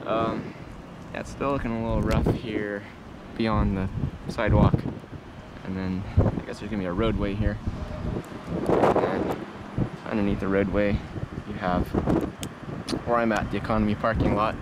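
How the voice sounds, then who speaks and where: A man with a fairly low voice narrates an informal outdoor tour. He talks haltingly and without fuss, thinking aloud as he goes. Loud wind and traffic noise surround him. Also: little pitch movement. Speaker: vlogger. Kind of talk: casual unscripted tour narration. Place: outdoors on an open parking structure. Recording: windy outdoor audio, loud vehicle noise. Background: wind, vehicles, urban noise.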